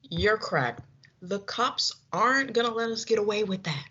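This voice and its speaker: A young woman playing a tough, blunt radio performer, brash and confrontational, in a high school radio play. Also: expressive, normal speed, pushed and bright, slightly rough. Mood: defiant. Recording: dull lo-fi recording.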